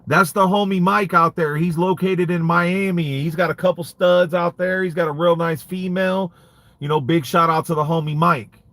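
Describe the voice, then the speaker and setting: A man's voice: sits high, fairly even intonation, normal speed, a bit bright, no room sound. A man in his late thirties, a dog breeder hosting a casual livestream and answering viewer comments in laid-back street slang. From United States. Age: 30s.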